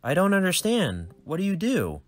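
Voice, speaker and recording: A man voicing an eager, excitable teenage boy in a homemade comedy skit, his voice pushed high. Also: moderate pace. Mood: surprised.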